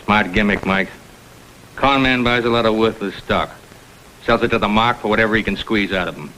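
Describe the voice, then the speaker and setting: Hard-nosed lieutenant, American, blunt and forceful, lowish pitch, vintage TV crime drama. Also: male voice, brisk, slight background noise, poor audio. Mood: shrewd, terse. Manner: blunt, terse, world-weary. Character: hard-nosed, sceptical male police lieutenant.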